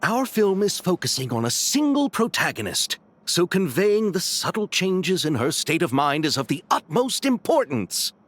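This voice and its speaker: Theatrical genial film boss, highish swoopy voice, game dialogue, faint noise. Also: male voice, very animated, normal speed, few pauses, a bit bright, full character voice. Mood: explaining. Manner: flamboyant, genial, formal phrasing. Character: enthusiastic, courteous theatrical male film crew leader. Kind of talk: video game voice acting.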